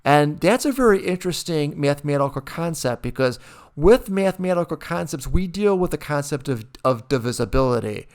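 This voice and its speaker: A man's voice: a little high, expressive, brisk, darkish tone. A man, a college math instructor, giving a conversational recorded lecture over a screen share, asking rhetorical questions and restarting his sentences often.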